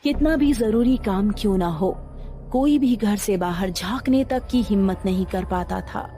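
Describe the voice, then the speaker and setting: Female narrator, mellow tone, suspenseful horror storytelling. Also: medium pitch, measured pace. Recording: faint music underneath.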